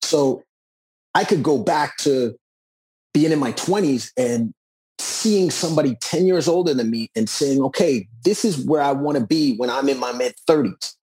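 A man's voice: medium pitch, moderate pace, a little mellow, husky, rough recording. A man, talking reflectively and earnestly as a musician in a conversational interview. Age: 40s. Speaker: musician and author being interviewed.

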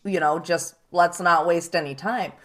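Woman podcast host, chatty and animated, rattles through her stories. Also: lowish voice. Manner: quick, animated storytelling with laughs. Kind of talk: casual chat.

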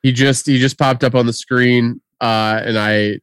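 A man co-hosting a podcast in casual chat, halting and laughing easily. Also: medium pitch, steady tone, a bit bright, clear, resonant tone, no room sound, clean studio sound. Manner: halting, many fillers and restarts, laughs easily. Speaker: podcast co-host.

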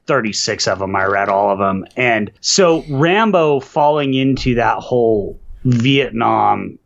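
A man's voice: lowish voice, creaky, fairly bright tone, lo-fi sound. A man co-hosting a film podcast, explaining history with enthusiasm in casual discussion. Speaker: podcast co-host.

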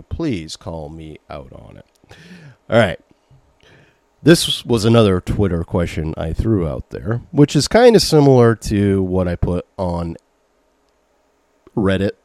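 A man's voice: fairly low pitch, a little mellow, dry recording. A middle-aged man with a Maine accent hosting a podcast, chatting loosely and rambling as he reacts to listener questions. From Maine, USA. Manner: chatty, rambling, reads listener messages aloud. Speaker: podcast host. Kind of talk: casual solo podcast talk.

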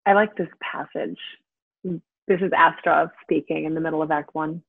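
An actress playing a gentle, wistful woman, speaking softly and earnestly in a measured, intimate, naturalistic drama style. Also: low voice, steady tone, creaky, breathy edge, dry recording, full character voice. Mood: earnest, impassioned. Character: gentle, wistful actress talking to an old friend by phone. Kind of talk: intimate naturalistic audio drama acting and monologue. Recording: tinny, band-limited phone line.

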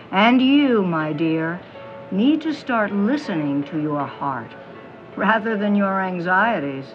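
Mystic fortune teller: female, knowing, teasing, very animated, audio drama, music bed. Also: mid-range voice, muffled recording. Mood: knowing, theatrical. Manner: theatrical, honeyed pet names, dramatic pauses. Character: mysterious middle-aged woman fortune teller, theatrical, knowing, teasing.

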